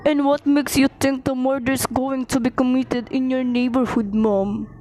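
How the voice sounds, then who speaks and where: A woman's voice: high-pitched, little pitch movement, unhurried, mellow, slight background noise, voice pushed down. A woman voicing a stolid male police sergeant in an amateur radio play, unconcerned and procedural in manner. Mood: placating, unconcerned. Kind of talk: amateur radio drama acting.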